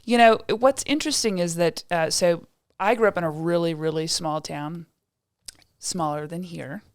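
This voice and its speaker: A woman's voice: fairly low pitch, moderate pace, no room sound, clean studio sound. A woman from Indiana, a state development director, chatting as a guest on a podcast, with lots of fillers and restarts. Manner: chatty, many fillers and restarts, self-deprecating laughs. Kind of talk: casual interview talk.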